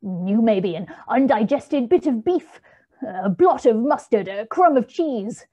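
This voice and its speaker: Brief: woman playing a jittery old miser, raised voice, husky tone, storybook reading. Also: fairly high pitch, lively intonation, a little slow, dark tone, muffled recording, voice pushed up. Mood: scoffing. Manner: nervous, pleading. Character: frightened old miser man, defensive.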